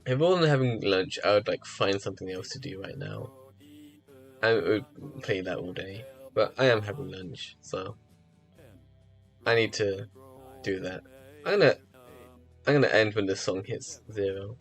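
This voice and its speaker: A low-pitched man talks loosely to his stream, circling through "like" and "um" as he restarts his thoughts. His voice has a slight morning roughness to it, and soft music plays faintly underneath. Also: moderate pace, pauses often. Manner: rambling, heavy with fillers and restarts. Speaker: live streamer. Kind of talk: casual live-stream chat.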